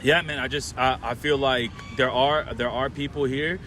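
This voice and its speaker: A man's voice: medium pitch, fairly even intonation, moderate pace, pushed and bright, slight background noise. A young man from Orlando hosting a podcast, chatting loosely with lots of fillers and restarts. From Orlando, Florida. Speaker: podcast host. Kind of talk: casual chat.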